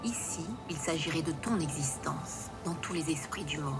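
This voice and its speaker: A woman's voice: low-pitched, normal speed, music in the background. A woman voicing a grave, commanding elder sister, a sun regent mare, in a fantasy audio drama. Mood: grave, composed. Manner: solemn, commanding, composed.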